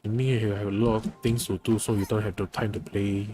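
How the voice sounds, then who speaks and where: A man's voice: fairly low pitch, level tone, brisk, mellow, faint music behind. A man streaming a game, giving casual commentary and chatting in muttered, half-finished thoughts. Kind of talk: casual live gameplay commentary chatting with viewers.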